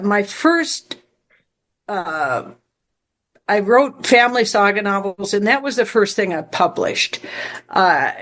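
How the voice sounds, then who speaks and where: A woman giving casual interview answers as a guest author, in a halting, measured manner. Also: medium pitch. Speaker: mystery novelist, interview guest.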